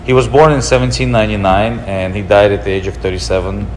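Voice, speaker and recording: A man in his thirties from Russia, a restaurant owner giving a relaxed, explanatory documentary interview. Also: lowish voice, fast, a little hiss or hum, poor audio. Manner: relaxed, explanatory, gestures while talking. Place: indoor room with leather couch.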